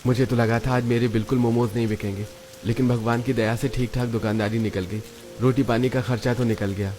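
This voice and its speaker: A man's voice: mid-range voice, flat delivery, fairly quick, natural voice. A man voicing a poor, honest, earnest street food vendor in a cartoon story. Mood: weary. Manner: earnest, pleading. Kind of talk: Hindi cartoon story dialogue. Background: background music.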